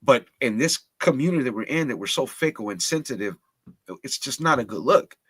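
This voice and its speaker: A man's voice: medium pitch, lively intonation, a little fast, a bit bright, breathy edge, no echo. A man from Los Angeles talking candidly on a livestream panel, slangy and full of restarts. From Los Angeles, United States. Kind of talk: casual chat.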